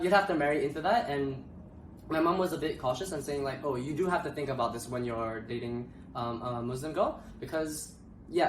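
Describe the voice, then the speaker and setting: A man's voice: mid-range voice, a little hiss or hum. A young man from Malaysia talking in a casual panel discussion, hesitant and full of filler sounds. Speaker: co-host. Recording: roomy echo, mic at a distance.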